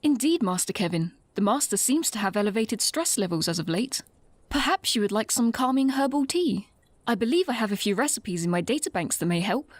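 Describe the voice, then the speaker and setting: A courteous robot servant with crisp, formal diction, played by a woman. Its voice is high and swings widely in tone. The sound is very dry and close. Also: animated, brisk, no echo. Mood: politely literal. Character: prim polite robot servant, formal and literal. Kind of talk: fan audio drama acting.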